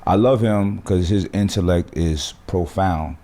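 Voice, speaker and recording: A man hosting a podcast, talking in an animated, emphatic way full of slang and swearing. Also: deep voice, unhurried. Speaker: podcast host. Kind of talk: casual podcast conversation.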